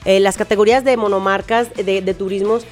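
A woman's voice: mid-range voice, steady tone, fairly quick, a little mellow, soft background music. A woman from Medellín, Colombia, with a Colombian accent, explaining things enthusiastically as an interview guest, with frequent fillers. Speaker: car tester, guest. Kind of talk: casual interview chat.

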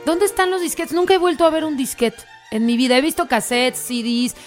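A woman's voice: high-pitched, steady tone, brisk, soft background music. A woman co-hosting a morning show, chatty and playful. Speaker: co-host. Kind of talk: casual chat.

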